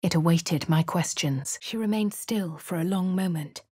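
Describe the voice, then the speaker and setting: A woman with a posh English accent giving refined, measured first-person narration for a game character. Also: on the low side, dark tone, breathy edge, no echo. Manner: refined, measured, clipped delivery. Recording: dry studio voice-over.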